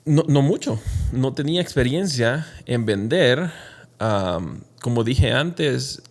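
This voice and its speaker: Gravelly-voiced man telling his story. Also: medium pitch, animated, slow pace. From Honduras. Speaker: entrepreneur interview guest. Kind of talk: conversational personal storytelling in an interview.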